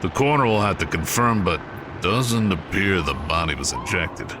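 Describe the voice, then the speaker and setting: An actor playing a measured homicide detective in an audio drama, pondering and authoritative. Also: male voice, sits low, expressive, background noise. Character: measured, probing male homicide detective in charge.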